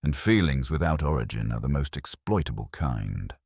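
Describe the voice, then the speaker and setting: A man voicing a stout older male detective who speaks slowly and formally, handing down judgments. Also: very deep voice, animated, creaky, darkish tone, husky, no echo, studio quality, pitched below the performer's own voice, full character voice. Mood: measured. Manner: slow, formal, pronouncing judgments.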